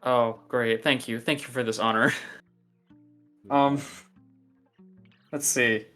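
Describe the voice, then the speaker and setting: A man's voice: mid-range voice, steady tone, slow, pushed and bright, faint music behind. A man chatting casually as a judge on a group livestream, giggly and a bit hesitant. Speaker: guest judge on a fanfiction contest stream.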